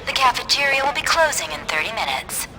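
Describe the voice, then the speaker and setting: A woman's voice: sits high, very animated, fast talker, bright, forceful tone, slight background noise, full character voice, rough recording. A woman playing an official dormitory announcer in a video game, making a formal scripted announcement.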